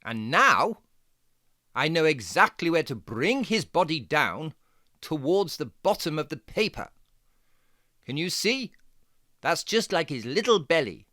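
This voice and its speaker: A performer voicing a jaunty, fussy fox who gives playful, step-by-step drawing lessons for children in a theatrical, deliberate, encouraging way. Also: mid-range voice, very animated, sharp tone, no room sound, studio quality. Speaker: presenter of children's drawing tutorial.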